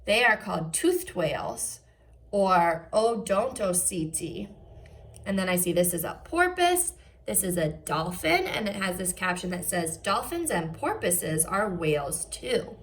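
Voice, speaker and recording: A woman's voice: fairly low pitch, sing-song, measured pace. A woman teacher reading aloud to young children, enunciating carefully and adding short explanatory asides. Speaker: first grade teacher.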